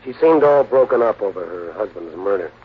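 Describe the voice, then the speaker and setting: Husky, hard-nosed 1940s investigator. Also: male voice, lowish voice, lively intonation, brisk. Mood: skeptical. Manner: brusque, skeptical, commanding. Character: shrewd, hard-nosed male investigator protecting his client. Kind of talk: 1940s radio drama acting. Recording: muffled 1940s radio recording.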